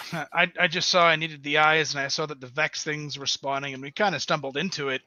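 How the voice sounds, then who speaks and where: A man's voice: fairly high pitch, fairly even intonation, brisk, sharp tone, no room sound. A man chatting casually about gaming as a podcast guest, on a dull, dry lo-fi voice channel.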